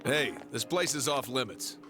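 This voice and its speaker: A brusque police guard, played by a man in a game dub. He is curt and by the book, at a middling pitch, with music clearly behind. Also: animated, normal speed, close to an everyday voice. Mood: official. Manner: curt, official. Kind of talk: English video game dub acting.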